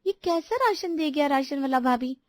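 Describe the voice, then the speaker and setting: A woman's voice: high voice, animated, normal speed, no echo. A woman voicing a dutiful but defensive young sister-in-law in a household story, explaining herself indignantly.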